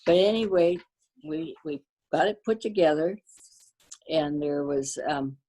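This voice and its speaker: An older woman, born to a Danish-speaking family, reminiscing about her community in a casual interview, pausing often with fillers and restarts. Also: low voice, animated, rough recording. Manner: warm storyteller, halting with fillers and restarts. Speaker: guest.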